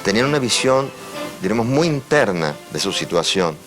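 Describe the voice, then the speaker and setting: A man's voice: fairly low pitch, sing-song, moderate pace, music in the background. A man interviewed for a documentary, explaining things fluently with small fillers.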